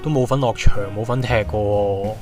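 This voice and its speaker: A man's voice: lowish voice, fairly even intonation, normal speed, darkish tone, close to an everyday voice. Man playing a cocky Cantonese schoolboy footballer, music behind. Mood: reluctant, casual. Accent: Hong Kong Cantonese. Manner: colloquial, cocky banter. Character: easygoing, confident teenage schoolboy footballer. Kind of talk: amateur radio drama dialogue.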